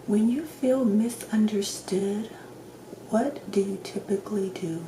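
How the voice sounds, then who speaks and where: A woman doing a soft-spoken ASMR roleplay as a calm doctor, asking questions gently in a hushed, near-whispered voice with long pauses. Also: medium pitch. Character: calm doctor giving a personality assessment. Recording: roomy echo, clear background noise.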